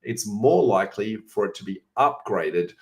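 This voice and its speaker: Hoarse raspy Australian man. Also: on the low side, animated, normal speed. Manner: chatty, many "uh" fillers, self-correcting. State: hoarse, coughing. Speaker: host answering viewer questions. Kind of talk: informal Q&A session.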